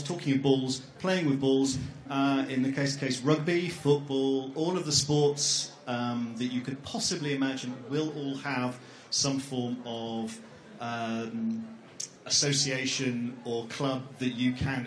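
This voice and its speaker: A man's voice: mid-range voice, fairly even intonation, slow pace. A middle-aged man with an ordinary mid-range voice co-presents at a fair. He rambles a little and slips in jokes and ums, and the sound of a big hall hangs around his words. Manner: jokey, rambling, with many fillers. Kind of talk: informal presentation at an education fair. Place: large echoey exhibition hall. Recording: echoey hall.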